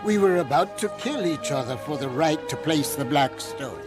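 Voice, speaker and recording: An actor playing an old man, a protective uncle and clan elder, speaking earnestly in an animated film. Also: on the high side, normal speed, natural voice. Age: older. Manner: earnest, pleading, steadfast. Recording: animated film with music behind.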